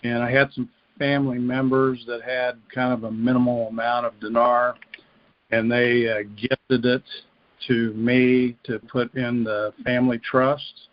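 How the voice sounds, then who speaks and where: A male caller speaks over a dull, dry phone line in a flat, even tone. He phrases his casual questions haltingly, as someone seeking advice. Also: medium pitch, dry recording. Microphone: telephone.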